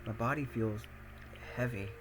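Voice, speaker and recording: A man playing an anxious, earnest teenage high-school boy who narrates his own thoughts in a visual novel fan dub, musing and questioning himself. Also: a little slow, noisy background, lightly acted. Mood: groggy, confused. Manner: self-questioning, musing aloud.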